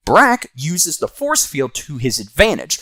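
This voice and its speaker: A man's voice: on the high side, wildly swooping pitch, a little slow, bright, forceful tone, dry recording, clean studio sound. A man hosting and narrating a scripted comic book review.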